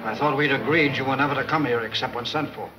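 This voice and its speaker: A man's voice: mid-range voice, highs cut off, natural voice. Man acting cold gang mastermind, old film score behind. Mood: coldly menacing. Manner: measured, formal, commanding. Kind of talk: 1940s film serial acting. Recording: 1940s film soundtrack with music behind.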